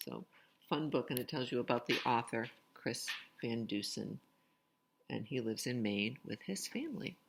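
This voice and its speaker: A woman's voice: rumbling low, animated, moderate pace, gravelly, husky, low-quality recording. A woman reading a picture book aloud expressively, with casual asides to young listeners. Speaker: read-aloud storyteller.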